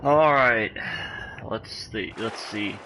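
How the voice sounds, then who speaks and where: A man's voice: on the low side, bright, forceful tone, poor audio. A man chatting casually on a game livestream, rambling and often breaking off as he goes. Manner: halting, rambling storyteller who often breaks off. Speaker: game streamer. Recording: home stream mic with clear background noise.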